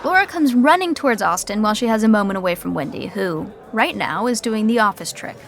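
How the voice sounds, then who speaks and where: A woman narrating a scripted sitcom podcast with wry, deadpan asides. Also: medium pitch, fairly quick.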